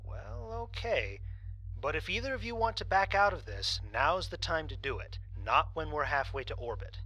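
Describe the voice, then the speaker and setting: A woman playing a firm, by-the-book spaceship commander in an audio drama, with a husky voice and authoritative manner. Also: deep voice, lively intonation, normal speed, a bit bright, full character voice, rough recording. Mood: casual, concerned.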